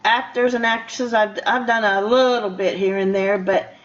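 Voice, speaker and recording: A woman's voice: medium pitch, steady tone, moderate pace, muffled recording. An older woman hosting a casual radio interview, chatty and rambling as she talks to her guest. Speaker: radio show host.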